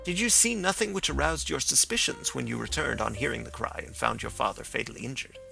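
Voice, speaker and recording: A man's voice: mid-range voice, fairly quick, vocal fry, sharp tone, faint music behind, rough recording. A man reading the part of a male juryman asking a plain question. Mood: curious.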